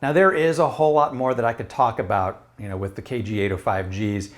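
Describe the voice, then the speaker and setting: A man's voice: medium pitch, fairly even intonation, fairly quick. A middle-aged American man giving a casual, explanatory product review to camera in a conversational way. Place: small room at home. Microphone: lapel mic.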